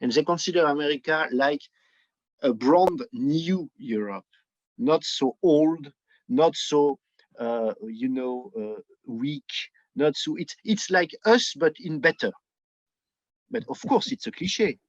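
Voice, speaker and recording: A man from France with a French accent, a native French speaker talking English haltingly and emphatically as a podcast guest giving his opinions. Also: a little high, expressive, no room sound, highs cut off. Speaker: podcast guest, book editor. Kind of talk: conversational opinion.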